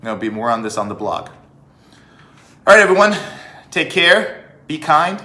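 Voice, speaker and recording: Man, animated swooping pitch, bright forceful tone, emphatic vlog monologue, rhetorical questions. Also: normal speed. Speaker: vlog host. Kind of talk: opinion monologue to viewers. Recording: echoey room.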